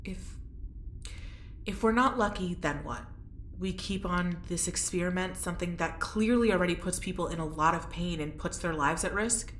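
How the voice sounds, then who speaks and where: An actress playing a wry, anxious, plain-spoken test subject, casual and skeptical, in a naturalistic audio drama. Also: female voice, fairly low pitch, normal speed, fairly bright tone, reverberant, a little hiss or hum, close to an everyday voice. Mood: worried, sardonic.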